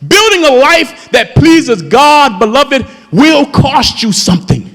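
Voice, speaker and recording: Fervent man in his fifties, soaring high swooping delivery, preaching in a church with a long echo. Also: very animated, takes it slowly, fairly bright tone. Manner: impassioned preaching, calls out to the congregation. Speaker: pastor. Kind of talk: sermon. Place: church sanctuary. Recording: long hall reverb in a church.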